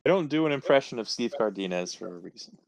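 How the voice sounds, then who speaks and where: A man's voice: mid-range voice, lively intonation, quick pace, gravelly, over a noisy crowd, low-quality recording. A man chatting casually as a participant in a film commentary.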